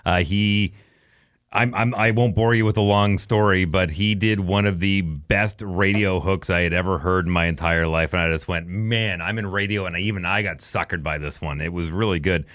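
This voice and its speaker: A middle-aged man with a fairly low voice co-hosts a call-in show. He trades banter and hands out advice in a blunt, matter-of-fact way, with the ease of a radio announcer. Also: normal speed, fairly bright tone. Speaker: podcast co-host and radio announcer.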